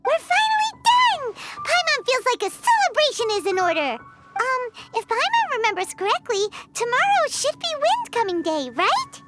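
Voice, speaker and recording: A woman voicing a small excitable fairy companion in a video game, with a squeaky childlike voice and chirpy, exclamatory delivery. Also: normal speed, over loud music, full character voice. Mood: cheerful. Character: small excitable female fairy companion, speaks in third person.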